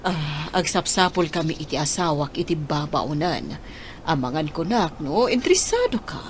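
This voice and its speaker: A lively, swooping voice of a kindly madam of the house in a radio drama. She sounds concerned and inquisitive, over faint noise. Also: low voice, normal speed. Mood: curious, concerned. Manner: concerned, questioning, generous. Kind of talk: Philippine radio drama acting.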